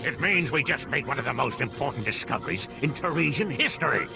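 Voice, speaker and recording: A man's voice: medium pitch, animated, quick pace, gravelly, loud music behind the voice. A man playing a headstrong, idealistic alien medical scientist in a video game, argumentative and emphatic. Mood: earnest, insistent.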